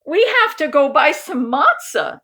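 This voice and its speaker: A woman voicing a weary, practical aunt in a children's picture book, expressive and slightly plaintive. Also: high-pitched, measured pace, vocal fry, voice pushed up, exaggerated voice.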